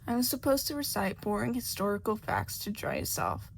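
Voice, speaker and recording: Sour talking mouse, voiced by a woman. Storybook, matter-of-fact grumbling, some gravel, slight background noise. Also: mid-range voice, huge pitch swings, fairly quick. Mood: sour, resigned. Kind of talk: storybook character voice.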